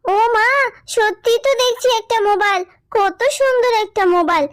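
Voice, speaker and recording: A performer voicing an excitable, whiny little girl fox cub in a cartoon, pleading and childlike. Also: extremely high pitch, moderate pace, dry recording, studio quality. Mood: delighted.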